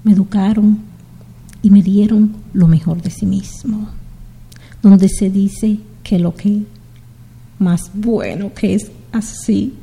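A middle-aged woman from the Dominican Republic hosting a community radio show, speaking in an unhurried, heartfelt way as she greets her listeners. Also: medium pitch, steady tone, gravelly, mellow, slightly rough, big-room sound, rough recording. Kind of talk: slow, warm community radio sign-off.

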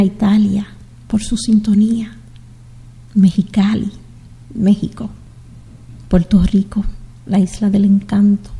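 A middle-aged woman from the Dominican Republic hosting a community radio show, speaking in an unhurried, heartfelt way as she greets her listeners. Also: medium pitch, warm tone, husky, long reverb tail, rough recording. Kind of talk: slow, warm community radio sign-off.

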